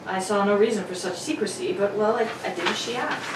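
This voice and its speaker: An actress playing an earnest, distraught young governess, pleading and halting in a melodramatic radio drama. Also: female voice, mid-range voice, close to an everyday voice. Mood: earnest, indignant. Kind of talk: 1940s radio drama acting. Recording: roomy, distant mic with clear noise.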